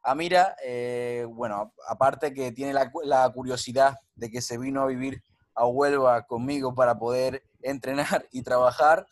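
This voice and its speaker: A man's voice: mid-range voice, fairly even intonation, a little slow, dry recording. Man hosting, dry sound. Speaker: podcast host and strength coach. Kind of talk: casual podcast interview chat.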